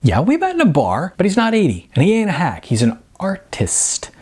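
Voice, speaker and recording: Audiobook role: brash young male dreamer, acted by a male narrator, excitable, slangy, insistent, very animated. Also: high voice, fairly quick, husky, pitched above the performer's own voice, exaggerated voice. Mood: earnest, defensive. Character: excitable, cocky young male slacker dreamer, record store clerk.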